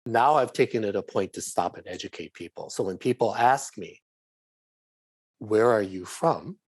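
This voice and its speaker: Middle-aged male guest from Hawaii; low, earnest, explanatory delivery, dry booth sound. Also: moderate pace, slightly rough, no room sound. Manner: earnest, explanatory, speaks in long flowing runs. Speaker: podcast guest. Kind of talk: conversational interview.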